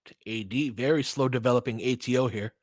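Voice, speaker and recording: A man's voice: medium pitch, a little fast, dry recording, clean studio sound. A man with an American accent hosting a casual basketball commentary, analytical and thinking aloud in sentences that trail off and restart. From California, United States. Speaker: host and play-by-play commentator. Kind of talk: casual basketball chat and live commentary, answering listeners.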